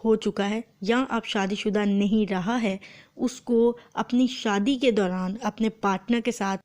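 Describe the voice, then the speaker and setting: A woman law lecturer with a Pakistani accent speaks at a middle pitch, her throat sore. She explains methodically, as if to students preparing for a test, and slips English terms into her teaching. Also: fairly even intonation, unhurried, a little mellow. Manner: explanatory, translating phrase by phrase.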